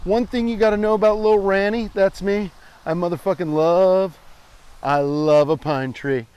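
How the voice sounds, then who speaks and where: A man's voice: sits high, steady tone, moderate pace, a little mellow, smooth, highs cut off. An American man, around his forties, chatting casually and irreverently as he hosts a rambling comedic walking vlog, swearing freely. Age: 40s. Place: outdoors, walking on a trail.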